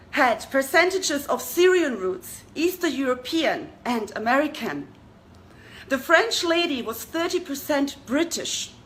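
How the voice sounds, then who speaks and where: A woman's voice: sits high, a bit bright, a little hiss or hum. A young woman from Germany hosting a talk show, speaking to an audience in an earnest way and asking them rhetorical questions. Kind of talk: addressing an audience.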